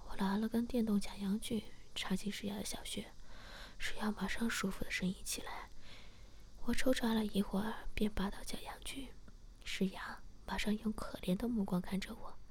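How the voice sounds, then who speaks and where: A woman narrating a story in a soft, steady whisper. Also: medium pitch, moderate pace. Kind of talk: whispered audio story reading.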